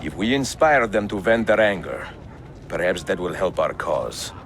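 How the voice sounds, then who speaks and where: A man's voice: low-pitched, very animated, moderate pace, a bit bright, slight background noise, exaggerated voice. A man with an Italian accent acting as a composed, determined middle-aged hero in a video game. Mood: quietly intent. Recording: music behind the voice.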